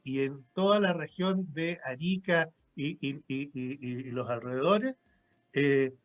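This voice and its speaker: A man being interviewed on the radio, recounting his experience in a halting way, with fillers and repeated words. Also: high voice. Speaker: radio guest.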